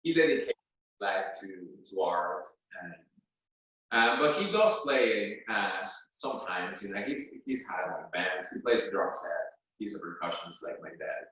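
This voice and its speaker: A man's voice: low voice, expressive, unhurried, a bit bright, very echoey, far from the mic, rough recording. A man from Venezuela, a guest flute player, answering interview questions at home with many fillers and restarts. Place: his home.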